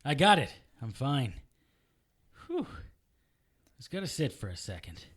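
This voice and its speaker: A wry, stubborn male detective in an audio drama. His mid-pitched voice moves around a lot, with a natural, defensive edge to the delivery. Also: sing-song, unhurried, fairly bright tone, breathy edge, exaggerated voice.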